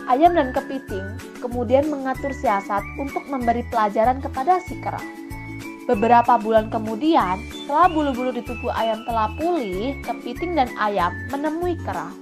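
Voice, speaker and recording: A woman narrating a scripted children's fable as an expressive storyteller. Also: on the high side, normal speed. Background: children's background music.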